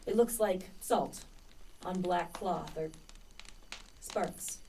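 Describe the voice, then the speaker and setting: A woman playing a young male poet and spy in a radio play, musing lyrically with dramatic pauses. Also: fairly low pitch, mellow, distant mic, slight background noise. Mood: wistful wonder. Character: Christopher Marlowe, dreamy, searching young male poet and spy.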